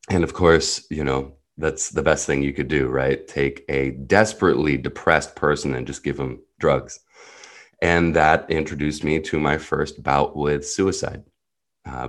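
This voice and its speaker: A man, a native Hebrew speaker who grew up in the US, telling his life story as a podcast guest in an earnest, reflective and blunt way. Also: very deep voice. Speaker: podcast guest, personal guide. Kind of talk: candid personal storytelling.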